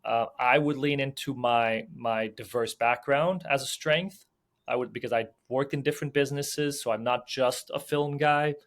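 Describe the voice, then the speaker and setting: A young man from Belgium talks through his ideas as an interview guest. His delivery is loose and conversational, packed with "um", "like" and repeated restarts, at a middle pitch. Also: steady tone, normal speed. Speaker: film producer and sales agent, guest. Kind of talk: casual podcast interview.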